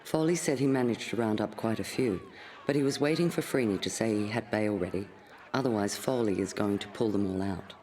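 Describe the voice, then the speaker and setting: A man's voice: on the low side, a little fast, darkish tone, a little hiss or hum. A man reading out official reports as a scripted documentary voice-over. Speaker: reader of intelligence files.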